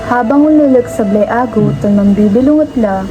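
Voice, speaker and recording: A woman's voice: a little high, normal speed, dull audio, natural voice. A young woman playing the narrator of a mystery radio drama, with a Pangasinan accent and scripted delivery. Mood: solemn narration. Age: young adult. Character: storyteller narrator of an aswang mystery tale. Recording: loud music bed under voice.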